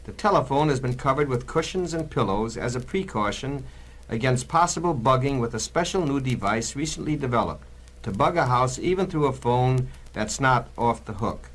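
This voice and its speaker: A man's voice: medium pitch, lively intonation, normal speed. A man reading a grave, scripted 1960s dramatic narration in an expository manner.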